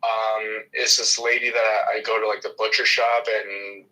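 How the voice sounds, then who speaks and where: Man, faint mexican accent, very bright tone, stammering restarts, video call, slight radio sound. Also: fairly low pitch, level tone, a little slow, rough recording. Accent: faint Mexican Spanish. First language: Spanish. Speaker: video chat participant. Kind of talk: casual video chat.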